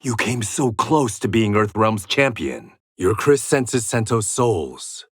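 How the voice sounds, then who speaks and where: A man's voice: lowish voice, animated, takes it slowly, fairly bright tone, breathy edge, dry recording, studio quality, full character voice. An actor playing a proud warrior and former gangster in a video game, confident and terse, with a sardonic edge to his short fight taunts. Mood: firm, confident.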